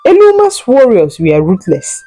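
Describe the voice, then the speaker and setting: A woman narrating a scripted folk tale in a solemn, deliberate and dramatic storytelling manner. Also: fairly high pitch, wildly swooping pitch, mellow, dry recording, soft background music.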